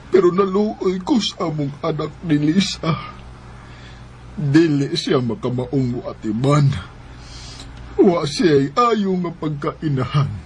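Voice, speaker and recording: Slow, halting, sick Cebuano husband. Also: male voice, a little high, darkish tone, a little hiss or hum. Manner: weak, halting, pleading. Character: sick, remorseful older husband begging forgiveness. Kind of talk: Bisaya radio drama acting.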